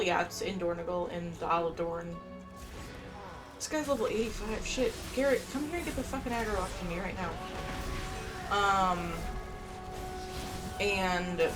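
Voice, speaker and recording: A woman giving casual gameplay commentary, rambling and thinking aloud as she plays. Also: lowish voice. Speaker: gaming streamer. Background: video game music.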